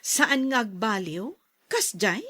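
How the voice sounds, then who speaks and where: A woman with an Ilocano accent playing an excited female confidante in a radio drama. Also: medium pitch, takes it slowly, full character voice. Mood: curious. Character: excited female confidante of pregnant young wife.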